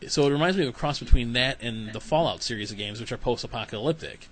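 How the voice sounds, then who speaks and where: A man's voice: medium pitch, quick pace, slight background noise. A man hosting a podcast interview, chatting casually and rambling, often repeating words. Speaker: podcast host.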